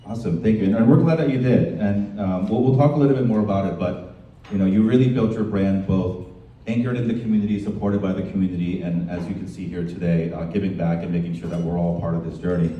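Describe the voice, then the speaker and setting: A man hosting a live panel discussion in a halting, informal way. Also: low voice, muffled recording. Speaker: event host and panel moderator. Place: indoor department store event. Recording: roomy echoing event space, distant mic, faint noise.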